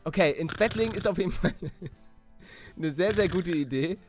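A man hosting a Let's Play in lively casual banter, playful and excitable, often stammering and repeating words. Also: high-pitched, moderate pace, faint music behind.

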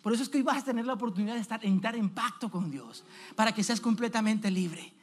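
A man in his thirties, an evangelist preaching an animated sermon on a church stage, calling out questions to the congregation. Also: sits high, fast talker. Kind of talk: energetic sermon.

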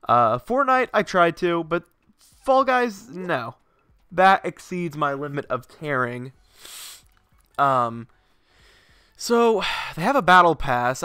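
A man's voice: a little high, pushed and bright, smooth, no echo, studio quality. A young man streaming games from home, chatting casually with a stuffy, sinusy voice, rambling and swearing freely. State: congested, sinusy. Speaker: game streamer. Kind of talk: casual live-stream chat. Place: home garage setup.

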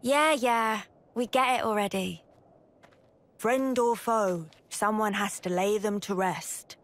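A woman's voice: mid-range voice, slow, close to an everyday voice. A woman playing a brash, teasing young soldier in a video game, with a British accent and blunt, sarcastic, slangy delivery. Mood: brisk, mocking. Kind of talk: video game cutscene acting.

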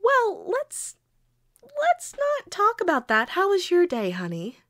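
A woman's voice: very high-pitched, wildly swooping pitch, a bit bright, full character voice. A woman playing a quirky, sheepish young girlfriend who pleads and defends herself hesitantly in an intimate audio roleplay. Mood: nervous, pleading. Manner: hesitant, pleading, halting with pauses. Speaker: amateur audio roleplay voice actor.

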